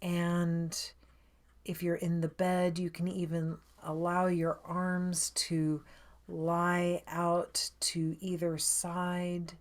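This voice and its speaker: Slow, low-voiced woman guiding meditation. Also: monotone. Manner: soothing, unhurried, inviting. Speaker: meditation coach, guide. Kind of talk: guided meditation, gentle spoken instruction.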